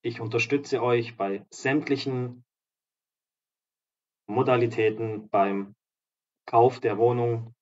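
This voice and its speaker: Slightly hoarse man giving an upbeat property tour. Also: medium pitch, fairly even intonation, slow pace, pauses often, lo-fi sound. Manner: upbeat, promotional, walks through rooms while talking. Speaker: real estate agent and vlogger. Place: apartment complex in a seaside town, indoors and outdoors.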